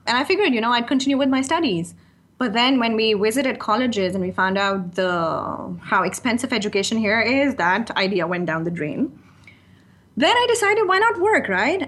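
A woman's voice: mid-range voice, a bit bright. Chatty woman guest. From Pakistan. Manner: chatty and candid, laughs easily, many "you know" asides. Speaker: guest, freelance writer. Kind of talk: casual interview chat.

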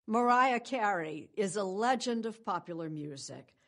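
An older woman hosting a morning news program, reading scripted introductions in a measured, polished way. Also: mid-range voice, expressive, a bit bright. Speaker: news show host. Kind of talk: scripted broadcast narration.

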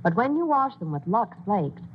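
A woman's voice: mid-range voice, very animated, moderate pace, a little hiss or hum. A woman giving a chatty, persuasive scripted ad spot on an old radio show, heard as a tinny broadcast. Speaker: commercial spokeswoman.

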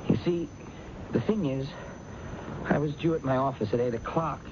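A man's voice: mid-range voice, moderate pace, close to an everyday voice. An actor playing a polite, ordinary male office worker in a 1970s radio drama, hesitant and deferential, tripping over his words. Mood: anxious, apologetic. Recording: muffled, noisy 1970s radio broadcast.

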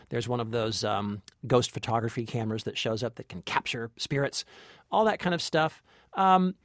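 A man's voice: a little high, no room sound, clean studio sound. A man co-hosting a film review podcast, chatting casually and giving his opinions with enthusiasm. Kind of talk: casual movie review chat.

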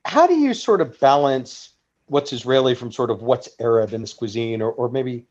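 A man's voice: mid-range voice, lively intonation, moderate pace, darkish tone. A man hosting a podcast interview, asking questions in a hedging way with frequent "sort of". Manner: hedging, says "sort of" often. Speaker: podcast host. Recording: dull lo-fi sound.

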